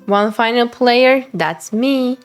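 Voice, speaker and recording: A woman streamer giving gushing, excitable live commentary while playing a video game. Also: fairly high pitch, slow. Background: game music.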